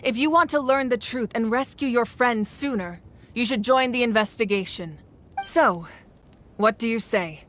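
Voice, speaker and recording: A composed, commanding female ruler in a video game, played by a woman. Her somewhat high voice is formal and measured, full of authority. A little noise sits in the recording. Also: a bit bright, lightly acted.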